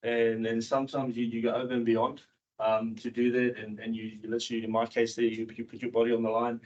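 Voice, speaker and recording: A man from South Africa who moved to New Zealand in his teens, giving a candid, informal personal talk to an audience in a halting, repetitive way. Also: mid-range voice, flat delivery, darkish tone. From South Africa, moved to New Zealand in his early teens. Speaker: seminar speaker, cricket coach and former professional cricketer. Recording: dull, muffled seminar recording.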